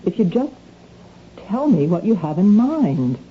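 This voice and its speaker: An actress playing a guarded, defensive housewife with a guilty secret in a radio drama, curt and indignant. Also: female voice, medium pitch, very animated, normal speed, lightly acted. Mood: anxious, guarded. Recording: dull, noisy lo-fi radio recording.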